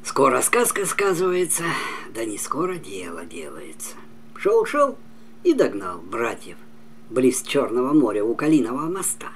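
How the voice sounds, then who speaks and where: An older man in his seventies telling a folk tale as a storyteller, with an expressive, dramatic, singsong delivery. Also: mid-range voice, normal speed. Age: older, about 70s. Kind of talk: expressive fairy-tale narration. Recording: clearly noisy home recording.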